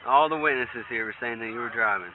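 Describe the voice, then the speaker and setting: A man's voice: medium pitch, fast talker. An American man, a police officer, questions a driver at the roadside, persistently repeating answers back as questions. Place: outdoors at a roadside at night. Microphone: body-worn camera. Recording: tinny bodycam audio with street noise.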